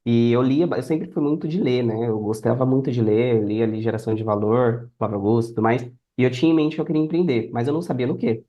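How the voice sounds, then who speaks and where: A man's voice: medium pitch, fast talker, hardly any pauses, lo-fi sound. A Brazilian man telling his own story casually as a guest on a podcast. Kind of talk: casual personal storytelling in conversation.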